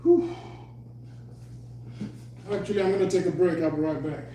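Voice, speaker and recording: A man with a slightly hoarse voice, chatting loosely between sets of a home workout. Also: high voice, normal speed, warm tone. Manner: loose and rambling, talking between exercise sets. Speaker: fitness vlogger. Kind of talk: casual workout chat. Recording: very echoey room, mic far off, faint hum.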